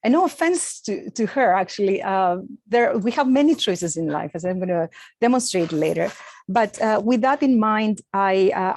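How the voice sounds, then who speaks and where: Greek-accented woman, middle-aged, mid pitch, earnest presenter, dry close mic. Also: darkish tone, dry recording. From Greece. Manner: earnest, with frequent uh and um fillers. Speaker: astronomer giving a talk. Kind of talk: presented talk with slides.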